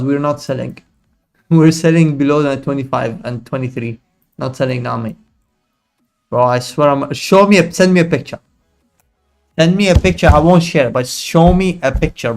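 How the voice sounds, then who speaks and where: A man's voice: fairly high pitch, a little mellow. Slangy young man streaming trades. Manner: banters with chat in slang, then explains trades in quick, loose bursts. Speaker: livestream trading host. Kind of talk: casual live-stream chat and chart analysis.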